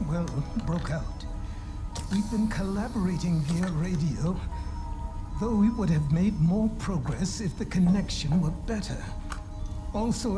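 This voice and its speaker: A fussy, absent-minded scientist, music behind. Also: male voice, sits high, rough recording. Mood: earnest, distracted. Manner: formal, hesitant, muttering to himself. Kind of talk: video game cutscene acting.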